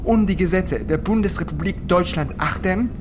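An actress playing a citizenship applicant in a radio drama, dutifully and solemnly repeating formal phrases. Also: female voice, on the low side, moderate pace, background noise, lightly acted.

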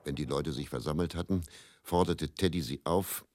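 An actor playing a bitter, world-weary travelling faith healer and quack in a radio play monologue, musing and theatrical. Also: male voice, very deep voice, moderate pace, a little mellow. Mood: wry, reminiscing. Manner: brooding, musing, repeats words, theatrical shifts between murmur and outburst.

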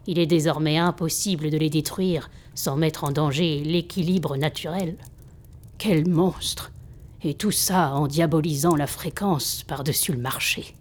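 Woman acting assured, low-voiced female mentor. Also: steady tone, unhurried, a little mellow, a little hiss or hum. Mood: exasperated. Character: seasoned female master, hospitable, blunt-spoken mentor. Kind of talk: audio drama acting.

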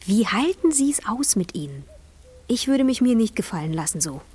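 An actress playing a cool, sharp-tongued young engineer in a stage-like radio drama, with a husky, breathy voice. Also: female voice, medium pitch, big pitch swings, moderate pace. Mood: challenging.

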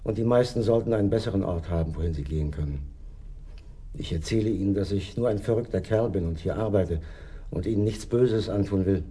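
Dark, husky, eccentric morgue attendant. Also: male voice, sits low, a little fast. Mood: musing, confiding. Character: eccentric, gentle morgue attendant, self-declared crazy fellow. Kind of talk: radio play acting.

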